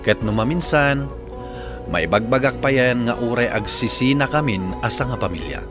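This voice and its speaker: A man's voice: medium pitch, expressive, moderate pace. A man with an Ilocano Filipino accent hosting a radio letter drama in a scripted narration style. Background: background music.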